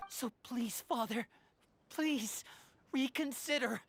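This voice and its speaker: A woman's voice: on the high side, takes it slowly, slight background noise. A woman playing an earnest, pleading teenage boy devoted to his adopted family in a dramatic video game.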